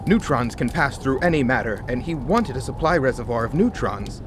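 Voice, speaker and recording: An actor playing a sharp-minded, wry polar scientist with an explanatory manner in a radio drama. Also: male voice, medium pitch, big pitch swings, normal speed, natural voice. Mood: awed explaining. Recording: noisy outdoor-like ambience behind dialogue.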